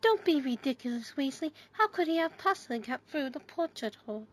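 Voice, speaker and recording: A woman's voice: high voice, animated, fairly quick. A woman playing a stern, exasperated older schoolteacher in an audio drama, formal and scolding. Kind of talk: fan audio drama acting.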